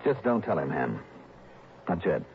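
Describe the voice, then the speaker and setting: An American man playing a sharp, self-assured investigator in a 1960s radio drama, clipped and businesslike. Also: deep voice, big pitch swings, a little slow, a little hiss or hum. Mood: probing, firm. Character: sharp, self-assured male insurance investigator narrating his case. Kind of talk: 1960s radio drama acting, first-person narration. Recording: dull vintage radio recording with music.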